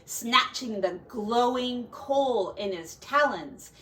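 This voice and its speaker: A woman reading a children's folk tale aloud in an expressive, dramatic and deliberate way, like a teacher. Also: a little high, low-quality recording. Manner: dramatic, deliberate, with quiet asides to young listeners.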